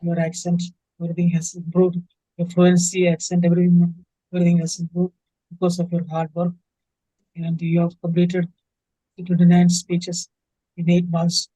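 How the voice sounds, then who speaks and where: Very high, monotone Indian man, dark tone. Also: no echo. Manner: halting, repeats phrases. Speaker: speech evaluator in a webinar. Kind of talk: instructive feedback speech. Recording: dull online meeting audio.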